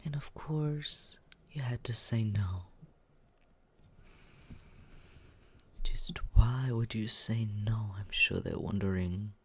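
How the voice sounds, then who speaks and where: A man playing a smug, condescending but secretly caring young roommate in a teasing ASMR roleplay, with breathy, husky whispering and murmuring. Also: lowish voice, a bit bright, exaggerated voice. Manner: teasing, condescending, slow drawl.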